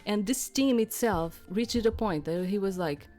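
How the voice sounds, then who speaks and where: Middle aged woman gamer rambling to viewers, kinda hesitant, really slow talker, bit of music behind. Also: mid-range voice, a little mellow. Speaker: host of a gaming channel. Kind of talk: casual rambling chat to viewers.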